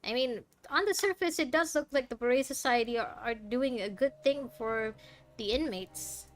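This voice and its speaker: A woman's voice: a little high, normal speed. A woman gaming streamer giving casual playthrough commentary, chatting playfully with the game's characters. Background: game music.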